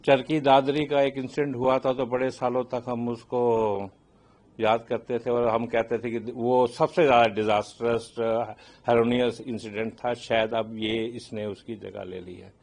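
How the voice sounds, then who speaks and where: An older man with an Indian accent and a mid-range voice speaks as an expert commentator in an interview. His delivery is measured and explanatory, with halting phrasing. He often slips English phrases into his speech. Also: medium pitch, flat delivery, darkish tone. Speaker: aviation consultant and expert commentator. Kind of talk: spoken expert reaction in a news interview.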